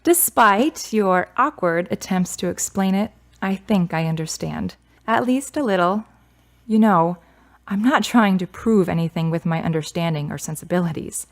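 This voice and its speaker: Woman acting a grieving, gently wry woman. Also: on the low side, lively intonation, moderate pace. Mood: earnest. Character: Charity, grieving, kind, reserved adult daughter. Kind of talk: serial radio drama acting.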